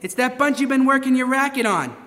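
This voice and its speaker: Man as a very high-voiced anxious pool hall owner. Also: expressive, brisk, pushed and bright, exaggerated voice. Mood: worried. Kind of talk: live old-time radio crime drama acting. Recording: live stage in echoey hall.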